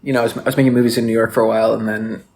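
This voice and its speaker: A man's voice: fairly low pitch, level tone, racing, a little mellow, slight background noise. An American man from Texas talking casually as a podcast guest, in a rambling, slangy way full of filler words. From Texas, United States. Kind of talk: casual chat.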